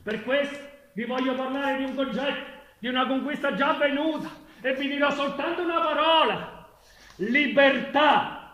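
A man acts out a stage orator giving a fervent political speech, easily flustered and given to asides. The voice is very high and bright, declaiming in a roomy, echoing space. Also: moderate pace. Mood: fervent, declamatory.

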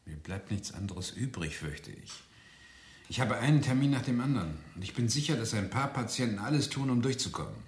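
An actor playing a brooding, guilt-ridden doctor with a subdued, hesitant delivery in a German radio crime play. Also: male voice, medium pitch, expressive, brisk, close to an everyday voice. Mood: dutiful. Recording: roomy, hissy old radio recording.

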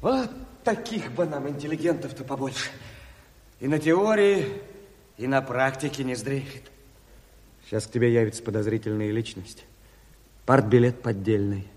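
Actor as a husky, blunt Bolshevik comrade. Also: male voice, medium pitch, moderate pace, long pauses, a little mellow, natural voice. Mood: confiding. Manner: earthy, blunt. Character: plain-spoken, loyal, rough-edged Bolshevik comrade. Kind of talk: Soviet radio drama acting. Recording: reverberant studio radio play recording.

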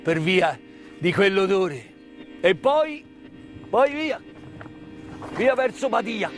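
A man delivers a theatrical narrative monologue as a stage storyteller, solemn and dramatic, with repeated words and weighty pauses. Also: sits high, big pitch swings, soft background music. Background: live musical accompaniment.

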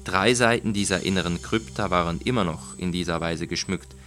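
A man narrating a literary short story in a measured, deliberate, dramatic audiobook style. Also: low voice, fairly bright tone. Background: music.

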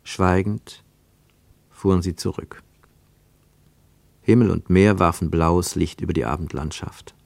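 A man narrating a literary radio play as a scripted storyteller, measured and expressive, with deliberate pauses. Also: sits low, vocal fry, no room sound.

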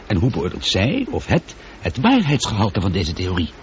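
A man playing an excitable, sceptical space traveller in a radio drama, questioning bluntly and with feeling. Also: fairly low pitch, moderate pace. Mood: agitated, intense. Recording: dull, noisy old radio drama recording.

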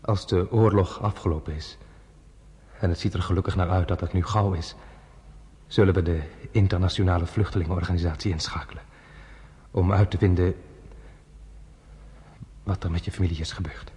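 An actor playing a kind foster father, a judge, speaking softly and reassuringly in a radio play. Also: low voice, fairly even intonation, moderate pace, pauses often, husky, long reverb tail. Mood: gentle. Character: kind older male Danish judge, gentle foster father.

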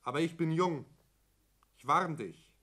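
An actor playing a resentful, stubborn young son and business heir in a radio play, speaking accusingly. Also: male voice, fairly high pitch, unhurried, pushed and bright, husky, full character voice. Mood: threatening. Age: young adult. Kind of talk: German radio play acting.

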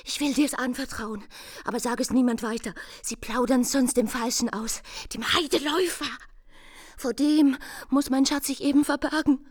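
High-voiced, deluded young bride. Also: female voice, steady tone, normal speed, dry recording, studio quality. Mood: secretive confiding. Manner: confiding, feverishly earnest, drifting into whispers. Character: deluded, fragile young bride-to-be, secretive and lovesick. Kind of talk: German radio play acting.

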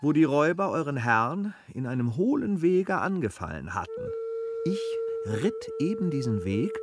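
An actor in a period radio play playing a courteous, upright gentleman traveller who speaks politely and formally. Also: on the high side, huge pitch swings, moderate pace, over loud music. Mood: earnest narrating. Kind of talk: German radio play, classical period dialogue.

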